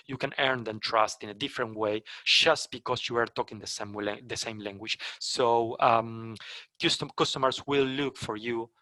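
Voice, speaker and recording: Halting man with a Spanish first language. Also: mid-range voice, pushed and bright, dry recording. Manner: halting, many fillers and self-corrections. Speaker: partner program trainer. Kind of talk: webinar sales training talk.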